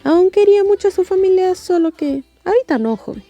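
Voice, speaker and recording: A woman's voice: extremely high pitch, expressive, fairly quick, mellow, no room sound, soft background music. A woman with a Mexican accent narrating an audiobook story in a wry, scripted style.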